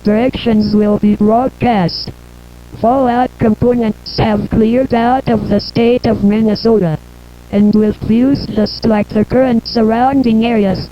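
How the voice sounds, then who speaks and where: A synthetic female voice delivering a scripted emergency bulletin in a formal, impersonal manner. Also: medium pitch. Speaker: emergency information announcer. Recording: muffled lo-fi broadcast with hum and noise.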